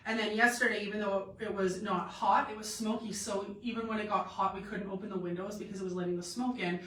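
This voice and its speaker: A woman's voice: medium pitch, steady tone, quick pace, fairly bright tone, a little hiss or hum. A young woman with a cold, sounding stuffed up and a bit nasal, chatting loosely with many fillers as she hosts a clothing try-on live stream from home. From Canada. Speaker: boutique live-stream host. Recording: echoey room, mic at a distance.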